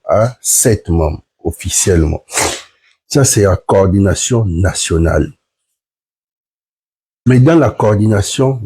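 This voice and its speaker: A man's voice: low-pitched, warm tone, slightly rough. A man, sick with the flu, earnestly explaining point by point to viewers in a live stream. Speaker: platform member hosting a live stream.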